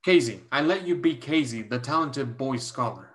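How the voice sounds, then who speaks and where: Stern, protective male guardian, read by a young man, medium pitch, solemn measured stage-reading delivery. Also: expressive, a bit bright, close to an everyday voice. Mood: solemn, insistent. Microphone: video call.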